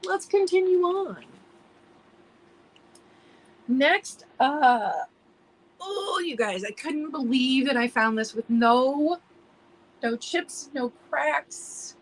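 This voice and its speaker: A slightly high-voiced woman hosts a live vintage sale, chatting casually and restarting her sentences. Her voice is a little hoarse, and she clears her throat now and then. She greets viewers by name between item descriptions. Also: expressive, extremely slow, pauses often, slight background noise, rough recording. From United States. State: losing her voice from allergies. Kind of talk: casual live-stream selling chat.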